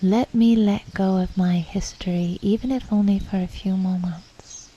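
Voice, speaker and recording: A woman guides a meditation in a deeply mellow, muted voice. She moves slowly and calmly, with reflective pauses and soft, breathy endings to her phrases. The recording is dull and slightly muffled. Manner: calm, unhurried, reflective, with pauses.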